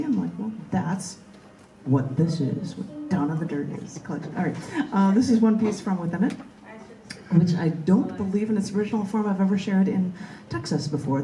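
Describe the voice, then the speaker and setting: A woman's voice: fairly low pitch, fairly quick, dark tone. A woman reading her own poetry live at an open mic in a large indoor bookstore, in a spoken-word style. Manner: emphatic, declamatory, repetitive rhythmic delivery. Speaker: poet reading own work. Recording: roomy, echoing live recording in bookstore.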